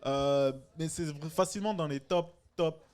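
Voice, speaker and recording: Male comedian guest, thirties, from France via Quebec, slightly raised pitch, loose and playful conversation. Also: unhurried, clean tone. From born in France, long settled in Quebec. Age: 30s. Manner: playful, joking. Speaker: stand-up comedian, podcast guest. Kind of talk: casual chat.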